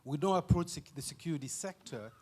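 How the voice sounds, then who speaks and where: A man's voice: fairly high pitch, expressive, fast. A man who works at a research institute, asking a conference panel a pointed question. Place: conference hall.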